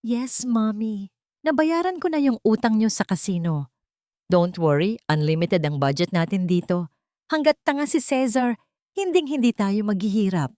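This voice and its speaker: A woman's voice: medium pitch, moderate pace, warm tone, no room sound, clean studio sound, pitched above the performer's own voice, close to an everyday voice. A woman voicing a scheming, cruel young woman who hides her contempt behind a sweet, fake manner. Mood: smug gloating. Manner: cold, mocking and threatening.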